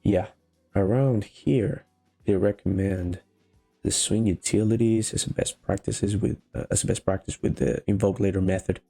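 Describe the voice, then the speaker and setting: A man with a Venezuelan Spanish accent, a non-native speaker of English, thinking aloud haltingly through a casual coding tutorial. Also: fairly low pitch, steady tone, creaky, warm tone. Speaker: programming tutorial host. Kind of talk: casual live-coding walkthrough. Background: faint music, keyboard typing.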